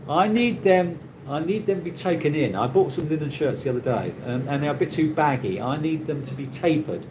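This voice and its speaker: A British man hosting a casual live chat, reading out viewer comments and answering in a rambling, halting way with many restarts. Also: fairly high pitch, expressive. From Britain. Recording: noisy ambient background.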